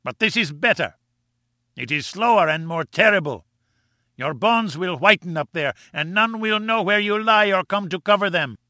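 A man reading an audiobook, voicing a vengeful, half-mad male villain who taunts in a shrill, gloating way. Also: expressive, normal speed, sharp tone, no echo, clean studio sound.

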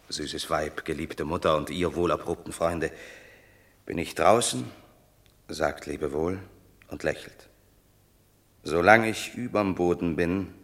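An actor playing a proud, banished Roman war hero who declaims verse in a commanding, bitter manner in a radio play. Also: male voice, low-pitched, a bit bright, exaggerated voice. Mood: solemn farewell. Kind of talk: German radio drama, stage verse.

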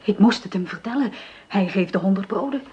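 Hushed vengeful young woman played by a woman, faint hiss, radio play. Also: mid-range voice, lively intonation, brisk, highs cut off, natural voice. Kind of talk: radio drama acting.

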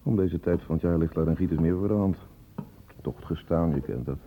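An actor playing a matter-of-fact, world-weary hospital doctor, reporting tersely in a radio play. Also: male voice, deep voice, brisk. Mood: resigned. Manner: colloquial, terse clinical reporting. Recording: tinny, noisy old radio play recording.

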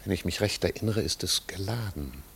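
Older butler, lowish voice, pompous, pedantic, stiffly formal, vintage radio drama. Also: male voice, expressive, a little fast, vocal fry, a bit bright, slight background noise, heavily acted. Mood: dry, deferential. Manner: stilted, pompous, overly formal wording. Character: stiff, pedantic, long-serving butler to a marquis. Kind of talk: 1950s German radio play acting.

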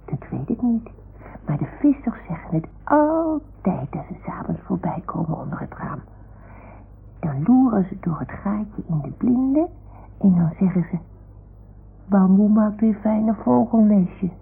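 A woman's voice: medium pitch, animated, measured pace, creaky, breathy edge, heavily acted. A woman playing a small, devoted toy dog in an old radio drama, childlike and eager to please. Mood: soft, confiding. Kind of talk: 1960s Dutch radio drama acting. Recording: noisy, muffled old radio recording.

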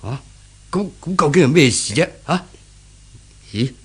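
Ageing father, husky, hesitant, fussy, kindly, Hong Kong Cantonese accent, radio drama. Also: a little high, expressive, unhurried, heavily acted. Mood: anxious, fussing. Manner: stammering, sighing, fussy. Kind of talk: 1970s radio drama acting.

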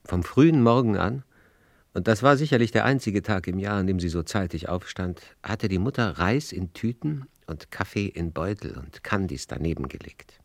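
Reflective narrator, low voice, creaky grain, measured literary storytelling, radio drama. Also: male voice, animated, no echo. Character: reflective male storyteller recalling a household.

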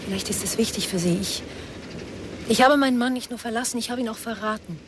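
An actress playing a secretive, guilt-laden estranged wife, earnest and deliberate, in a German radio crime drama. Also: female voice, on the high side, noisy background, natural voice. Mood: confessing. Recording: music behind the voice.

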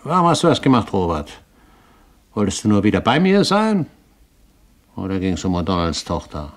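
An actor playing a shrewd older pirate captain, grand and wry in a 1970s German radio drama. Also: male voice, low voice, big pitch swings, moderate pace, no echo. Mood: wry, grumbling. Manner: grand, wry, authoritative, given to mild curses. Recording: 1970s radio play, background noise.

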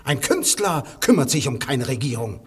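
An actor playing a passionate anarchist poet in a 1950s radio play, scornful and at times hushed and menacing. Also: male voice, medium pitch, sing-song, normal speed, husky, long reverb tail. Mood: intense. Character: fiery male anarchist poet, intense and scornful.

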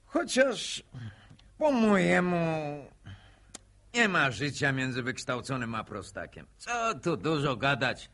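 A man's voice: fairly high pitch, sing-song, slow, sharp tone, exaggerated voice. An actor playing a smirking, needling village man in a Polish radio drama, mock-gallant and mocking in manner. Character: smirking, needling village man, ex-corporal, teasing a courting couple.

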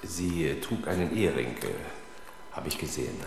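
An actor playing a sober, terse and hesitant police detective in a German radio crime drama. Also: male voice, rumbling low, normal speed, gravelly, background noise. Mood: subdued. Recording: echoey room.

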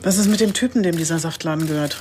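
An actress playing a shrewd, authoritative chief inspector in a radio crime drama, direct and dry. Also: female voice, deep voice, very fast, background noise, natural voice. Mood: commanding, businesslike. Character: brisk, commanding female police chief inspector, dryly shrewd.

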